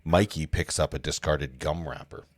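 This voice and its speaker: A man's voice: a bass voice, steady tone, moderate pace, no room sound, clean studio sound. A man hosting a livestream table read, narrating screenplay stage directions with casual asides.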